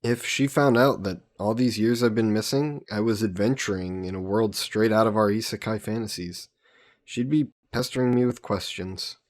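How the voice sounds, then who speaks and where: A man voicing an easygoing, teasing young adventurer hero in an intimate fantasy roleplay audio drama, casual and gently coaxing. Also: on the low side, moderate pace, lightly acted. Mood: fond, reminiscing. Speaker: voice actor.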